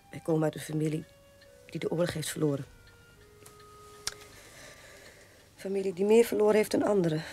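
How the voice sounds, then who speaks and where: An actress playing a weary, proud sister in a radio drama, speaking in a measured way. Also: female voice, low-pitched, mellow. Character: weary, proud sister defending her disgraced father.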